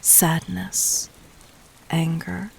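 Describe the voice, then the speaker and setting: A woman playing a regal, cunning, gently dominant demon queen in a roleplay audio drama, speaking formally and intimately with measured, breathy poise. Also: on the low side, level tone, slight background noise, exaggerated voice, rough recording. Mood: probing, tender. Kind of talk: scripted roleplay audio drama addressed to the listener.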